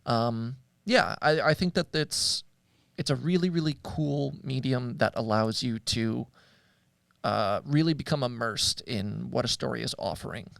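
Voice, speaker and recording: Hesitant man with a creaky, dry-recorded voice. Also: medium pitch, unhurried, dry recording. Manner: hesitant, searching for words. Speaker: podcast host. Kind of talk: casual discussion of audio dramas.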